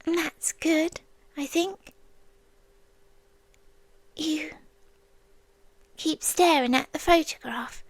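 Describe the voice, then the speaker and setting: A British woman playing a possessive, childlike female sea demon in an ASMR roleplay, speaking in a soft, breathy, coaxing voice that often drops to a whisper. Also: high-pitched, very slow, pauses often, no room sound, full character voice. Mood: gentle, hushed. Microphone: close microphone, dry.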